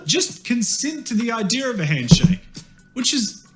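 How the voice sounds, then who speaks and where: High, animated Kiwi man narrating wryly. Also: normal speed, loud music behind the voice. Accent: New Zealand. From New Zealand. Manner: wry, tongue-in-cheek. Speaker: video essayist. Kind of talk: scripted comedic narration.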